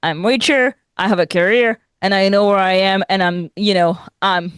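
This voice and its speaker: A young adult woman whose first language is French, co-hosting a podcast in casual chat, animated, laughing often and restarting sentences. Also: mid-range voice, moderate pace, smooth, no room sound, studio quality. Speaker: podcast co-host.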